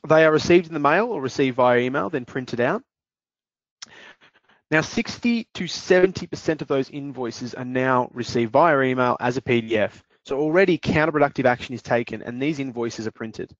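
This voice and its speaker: A man's voice: mid-range voice, lively intonation, dry recording. Man presenting a webinar demo. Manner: measured, explanatory, some fillers. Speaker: webinar presenter, business development manager. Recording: dry dull lo-fi audio.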